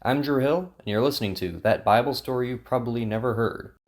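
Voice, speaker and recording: Guy narrating bible story over loud guitar, steady scripted delivery. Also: medium pitch, fairly quick. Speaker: host and narrator. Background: guitar music.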